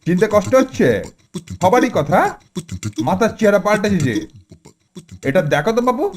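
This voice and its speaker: A man's voice: high voice, expressive, moderate pace. A man voicing an angry, sarcastic cheated customer in a children's cartoon, with a menacing manner. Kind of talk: Bengali children's cartoon voice acting. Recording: music bed behind the voice.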